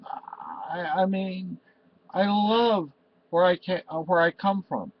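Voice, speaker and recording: A man's voice: high voice, very animated, slow. Older guy from spain rambling at his webcam, kinda muffled lo-fi sound, lots of you know. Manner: meandering, emotional, lots of "you know" and restarts. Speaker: vlogger. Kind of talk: rambling personal monologue to camera. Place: cluttered room at home, indoors. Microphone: webcam in front of him. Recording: slightly dull lo-fi webcam audio.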